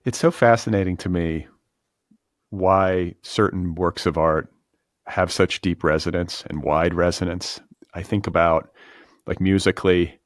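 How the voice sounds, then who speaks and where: A man's voice: low-pitched, fairly even intonation, a little slow, no room sound, studio quality. A man hosting a podcast in a casual interview style, musing informally and circling around his point. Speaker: podcast host.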